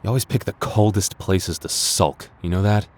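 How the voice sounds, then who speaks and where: A man acting a stubborn but regretful young man in an intimate boyfriend roleplay, speaking in a halting, earnest way with stammers and sighs. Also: fairly low pitch, darkish tone, close to an everyday voice. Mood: exasperated, earnest. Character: stubborn, regretful young man confessing love to childhood friend.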